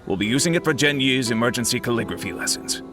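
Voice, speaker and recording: Brief: man playing a steady team leader, animated intonation, directive, game dialogue. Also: medium pitch, fast talker, music in the background, lightly acted. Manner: calm, directive. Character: adult male publishing editor, steady leader. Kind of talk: video game voice acting.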